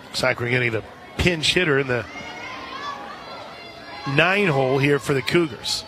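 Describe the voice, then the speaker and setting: An American man doing live radio play-by-play commentary of a softball game. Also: medium pitch, normal speed. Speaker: radio play-by-play sports announcer. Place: outdoor stadium broadcast position. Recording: crowd noise and music behind commentary. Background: stadium crowd and music.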